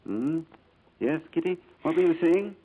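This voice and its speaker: A man's voice: fairly high pitch, expressive, a little slow. A man playing a courteous, distracted, haunted English businessman with a hesitant manner, in 1940s radio drama style. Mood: distracted, troubled. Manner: hesitant, gentlemanly. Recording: muffled old radio recording, music behind.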